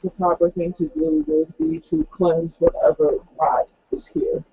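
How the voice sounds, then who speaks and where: A man's voice: sits high, big pitch swings, unhurried, creaky, warm tone, slightly rough, no room sound, lo-fi sound. A man talking casually as a player in a tabletop roleplaying game.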